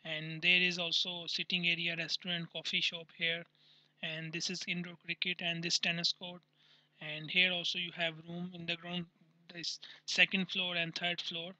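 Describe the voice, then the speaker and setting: A man hosting his construction channel, talking through CAD plans on screen in halting English full of pauses and fillers. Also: on the high side, monotone, pushed and bright, no echo, poor audio. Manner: halting non-native English with many uh fillers, pointing at drawings. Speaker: channel host, construction designer.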